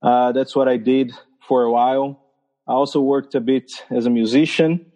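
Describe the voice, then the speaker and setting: A man from Brazil with a Brazilian Portuguese accent, a missionary guest telling his story in a casual podcast interview, halting and laughing often. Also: medium pitch, fairly even intonation, warm tone.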